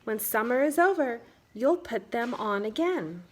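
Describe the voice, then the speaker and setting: A woman reading the part of a calm, reassuring mother in a children's storybook, patient and plain-spoken. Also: on the high side, a little slow, breathy edge. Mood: practical.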